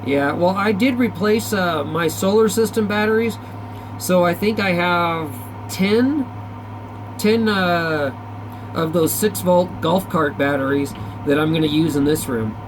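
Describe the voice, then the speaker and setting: A man's voice: sits high, unhurried, background noise. A man chatting casually as a CB radio operator, in a folksy, slangy, rambling way. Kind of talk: casual chat over CB radio. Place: back-room radio shack.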